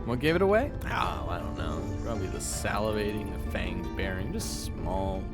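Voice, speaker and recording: A man's voice: on the low side, expressive, moderate pace, lightly acted. A man voicing a brash, sarcastic young swordsman companion who swears freely, in an audio roleplay. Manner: sarcastic, foul-mouthed. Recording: loud game music behind.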